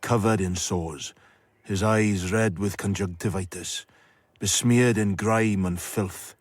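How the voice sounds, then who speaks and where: An actor playing an eloquent storyteller, narrating a radio drama in a measured, florid way. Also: male voice, fairly low pitch, fairly even intonation, dry recording.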